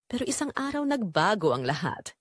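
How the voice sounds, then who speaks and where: A Filipino woman tells a dramatic first-person story with an emotive, confessional delivery. Her pitch moves in lively swings, and the recording is dry and close, with no room echo. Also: a little fast, breathy edge. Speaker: narrator of an audio drama.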